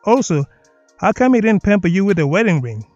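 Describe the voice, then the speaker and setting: A man's voice: sits high, sing-song, a little fast, darkish tone, no echo, muffled recording, soft background music. A man with a South African accent hosting a reaction commentary, mocking and sarcastic in tone. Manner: mocking, sardonic. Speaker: commentary video host.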